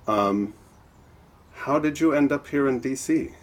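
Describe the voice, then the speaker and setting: A man's voice: mid-range voice, measured pace, slight background noise. A man hosting a podcast, reading an introduction and then asking questions, often stammering and repeating words as he asks. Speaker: podcast host. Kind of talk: interview host, scripted intro then chat.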